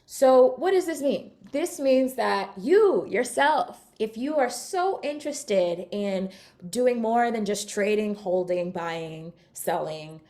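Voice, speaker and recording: A woman's voice: a little high, lively intonation, slow. A woman from California, giving an upbeat, chatty keynote talk at an online summit and speaking straight to her audience. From California, United States. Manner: chatty, upbeat, addresses audience with shout-outs. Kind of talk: energetic conference talk.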